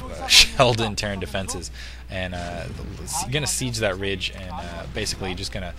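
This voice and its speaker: Deep-voiced hoarse young male game caster. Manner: halting, many fillers and restarts, excitable bursts. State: hoarse, losing his voice. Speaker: esports commentator. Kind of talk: solo play-by-play game commentary. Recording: loud music behind the voice. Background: loud background music.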